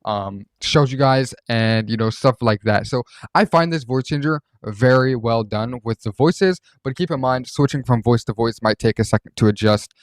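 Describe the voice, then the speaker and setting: A man presents a software walkthrough with a mid-pitched voice. He talks informally and rambles a little as he explains. The recording is dry, with no room sound around him. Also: normal speed, hardly any pauses, dry recording. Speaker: host reviewing voice changer software.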